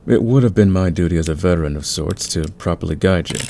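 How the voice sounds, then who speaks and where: Man acting as deep, gravelly, easygoing veteran thief. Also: fast talker, background noise. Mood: gentle, explanatory. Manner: soothing, patient, chuckling, conversational. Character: calm, friendly veteran male thief smoothing over team tensions. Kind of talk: ASMR audio roleplay acting.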